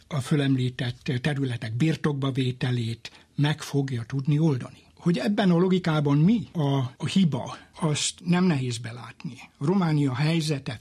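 A man explaining history as an expert interview guest, in deliberate, formal academic phrasing. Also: medium pitch, darkish tone, breathy edge.

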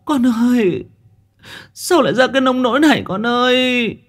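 A man's voice: very high voice, lively intonation, normal speed, fairly bright tone, pitched above the performer's own voice. A man voicing a grieving middle-aged mother who pleads through sobs in a quavering voice. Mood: sobbing. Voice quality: quavering, sobbing.

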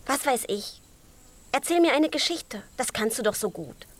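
A woman's voice: on the high side, big pitch swings, moderate pace. A woman playing a bored, bossy little chick, blunt and teasing, in a children's radio play. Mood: demanding.

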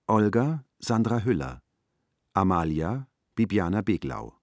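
A man announcing credits in a scripted, formal and deliberate radio style. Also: fairly low pitch, big pitch swings, a little mellow, no echo, studio quality.

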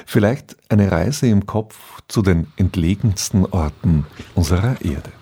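A man's voice: deep voice, animated, unhurried, warm tone, slightly rough. A man presenting a scripted introduction to a radio drama.

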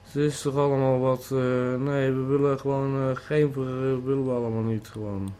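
A man playing a weary, befuddled caller in an audio play, halting and stammering as he repeats himself. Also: medium pitch, little pitch movement, dark tone, clear, resonant tone, close to an everyday voice. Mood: forlorn, muddled. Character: weary, befuddled man lost on a remote call. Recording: background noise.

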